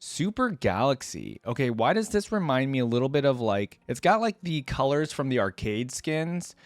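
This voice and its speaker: A man's voice: medium pitch, sing-song, normal speed, dry recording. Casual guy reacting, dry close sound. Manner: informal, thinking out loud. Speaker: reaction video host.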